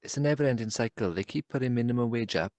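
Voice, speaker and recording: A man's voice: medium pitch, quick pace, a little mellow, no echo. A man co-hosting a podcast in casual chat, contrary and matter-of-fact as he teases his co-host.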